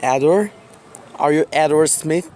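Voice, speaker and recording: A man's voice: a little high, a little slow, warm tone, natural voice. A man playing a friendly young man, a younger brother in love, with simple scripted delivery in an amateur radio play. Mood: surprised. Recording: faint background noise and ambience.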